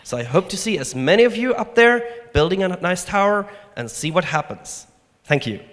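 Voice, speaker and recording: A young man giving an upbeat workshop pitch to an audience on a handheld microphone. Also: very animated, moderate pace, a bit bright, slight background noise. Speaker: workshop leader. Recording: reverberant hall.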